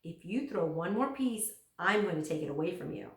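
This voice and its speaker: A woman's voice: fairly low pitch, lively intonation, moderate pace. A woman voicing an easygoing, practical father from a children's storybook, speaking in a matter-of-fact way. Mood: firm. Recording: roomy echo, distant microphone.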